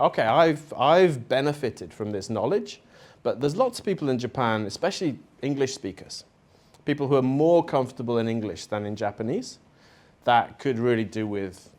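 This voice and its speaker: A middle-aged man speaks at a conference with an easygoing, conversational delivery. His pitch is neither high nor low, and he leans on fillers and friendly asides as he talks. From Spain. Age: 40s. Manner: casual and chatty, with fillers and asides. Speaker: conference presenter. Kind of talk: conference talk. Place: conference venue.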